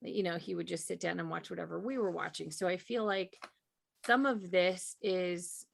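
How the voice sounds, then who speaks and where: A woman's voice: lowish voice, fairly even intonation, moderate pace, vocal fry. A woman co-hosting a podcast in casual, conversational chat. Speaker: podcast co-host.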